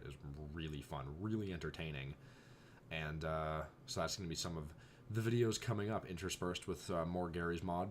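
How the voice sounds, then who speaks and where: A young man talks casually to his vlog audience in a very low, deep voice. He is slightly hoarse from long hours of reading aloud, and he wanders off into exasperated asides. A faint background hiss sits under the recording. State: voice going hoarse. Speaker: vlogger. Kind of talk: casual chat.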